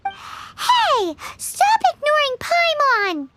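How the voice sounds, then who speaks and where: A woman voicing a small, cheeky, excitable childlike fairy companion in a video game, squeaky and exclaiming. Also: drawn-out words, loud music behind the voice, heavily acted. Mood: excited, indignant. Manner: squeaky, exclaiming, refers to self in third person. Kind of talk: video game character acting.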